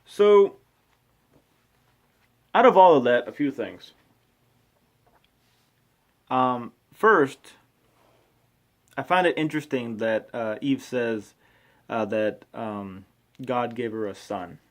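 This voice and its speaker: A man in his thirties reading aloud and commenting casually, with an informal, halting delivery and frequent self-corrections. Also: mid-range voice. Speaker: vlogger reading and commenting on scripture. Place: small room at home. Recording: clearly noisy amateur home recording.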